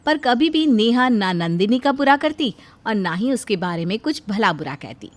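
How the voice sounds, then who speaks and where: A woman narrator reading a scripted cartoon tale in storytelling style. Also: on the high side.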